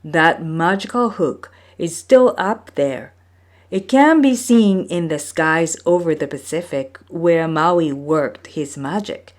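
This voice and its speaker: A woman reading from a folktale book as a storyteller, with measured, deliberate phrasing. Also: sits low. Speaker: storyteller, reader. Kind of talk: scripted narration of a folktale book.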